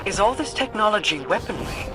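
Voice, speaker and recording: An actress playing a solemn, regal queen and seer in a video game, speaking formally and with measured gravity. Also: mid-range voice, sing-song, loud music behind the voice, close to an everyday voice. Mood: watchful. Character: regal, grave female queen and seer advising war. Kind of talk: scripted game dialogue.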